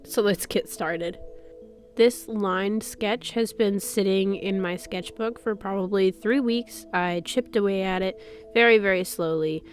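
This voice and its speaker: A young woman hosting her art channel, talking casually while she paints. She has a cold, so her voice sounds stuffy, and she rambles in a chatty way with soft laughs and fillers. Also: mid-range voice. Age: young adult. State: stuffy, sick voice with a cough. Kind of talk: casual chat over a paint-with-me video.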